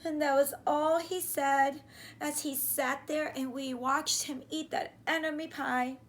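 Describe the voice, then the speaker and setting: High-pitched, unhurried woman reading aloud. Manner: animated, questioning, slow and deliberate. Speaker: teacher reading a picture book aloud. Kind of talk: children's read-aloud with questions to the listeners. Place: small room indoors, on a couch. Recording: clear background room noise.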